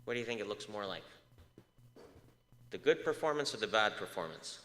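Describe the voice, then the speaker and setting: A man's voice: lowish voice, expressive, fairly quick, fairly bright tone. A man giving a public lecture, asking the audience questions, with many uh fillers and moments off the microphone. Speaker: research speaker. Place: large echoey lecture hall. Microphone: moves off mic at times. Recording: echoey hall reverb.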